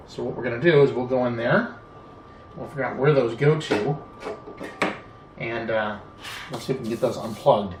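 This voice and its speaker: In an echoey room with a faint bed of noise, a man walks through a hands-on repair without a script. He thinks aloud as he goes, unhurried, often pausing and letting phrases trail before picking them up again. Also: mid-range voice. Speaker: hobbyist video presenter. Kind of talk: unscripted hands-on repair walkthrough.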